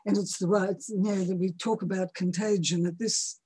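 A woman in her early seventies with a husky voice, speaking as an interview guest in an emphatic, motivational way and repeating key phrases. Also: mid-range voice, fairly even intonation, fairly quick, mellow, no echo.

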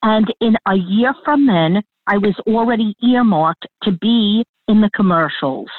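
A woman with a thick Long Island accent, telling stories as an interview guest, lively and laughing, over a tinny phone line. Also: medium pitch, dry recording. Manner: lively, laughing, talks in quick bursts with restarts. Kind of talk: animated storytelling interview.

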